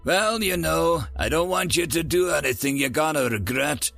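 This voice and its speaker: A kindly male bartender acted out by a man reading a story, with a bright voice and lively pitch. He sounds folksy and gently cautioning. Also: normal speed, vocal fry, faint music behind. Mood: concerned. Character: concerned, kindly male human pub bartender.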